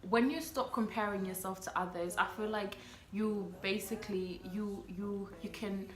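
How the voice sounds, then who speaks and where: Young woman talking in halting restarts. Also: medium pitch, steady tone. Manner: halting, many restarts and "sort of", "like". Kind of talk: casual chat. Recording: echoey room.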